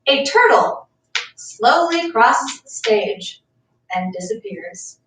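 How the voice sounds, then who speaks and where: A woman's voice: sits high, big pitch swings, takes it slowly, a bit bright. A woman reading stage directions aloud at a live staged play reading. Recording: roomy, distant mic, faint room noise.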